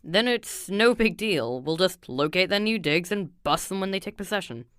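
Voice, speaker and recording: A woman's voice: on the low side, animated, moderate pace, a bit bright, smooth, no room sound, studio quality. A woman voicing a brash, impatient teenage boy sidekick in a comic dub, cocky, questioning and easily indignant. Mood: argumentative.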